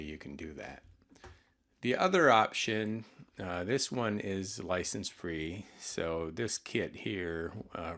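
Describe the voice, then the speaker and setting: Male presenter, deep register, for an informal retail product demo; plain, explanatory, slightly halting delivery. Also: a bit bright.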